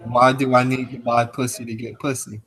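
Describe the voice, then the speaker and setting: A man speaking calmly and patiently as a guest in a casual call-in conversation. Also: medium pitch, faint music behind, poor audio. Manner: calm, patient, measured.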